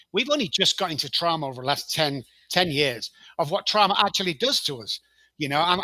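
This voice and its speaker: A man's voice: fairly high pitch, pushed and bright, dry recording, rough recording. A man with a Manchester accent, a podcast guest talking emphatically about addiction recovery and acting out short exchanges. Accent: Manchester English. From England. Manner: emphatic storyteller, acts out quick dialogue. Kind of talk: podcast interview.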